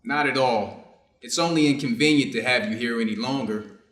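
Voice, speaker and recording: A man's voice: mid-range voice, normal speed, fairly bright tone, lightly acted. A man playing a reserved, conflicted Japanese doctor in a staged reader's theater drama, formal and guarded in his phrasing. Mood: brisk, instructing. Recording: roomy, echoing space.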